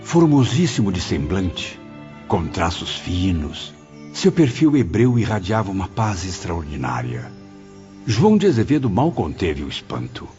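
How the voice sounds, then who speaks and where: A man playing a reflective, courteous spirit who narrates his memoir in a formal, expressive audiobook drama style. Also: sits low, unhurried. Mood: reflective narration. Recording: dull lo-fi audio under music.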